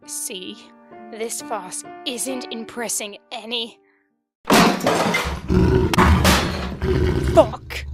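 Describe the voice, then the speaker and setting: A woman's voice: a little high, takes it slowly. A woman playing a sarcastic, combative, articulate interviewer in an amateur comic dub. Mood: angry, defiant. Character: scathing skeptical woman interviewer, sweary and combative. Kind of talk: amateur fandub voice acting. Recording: music behind the voice.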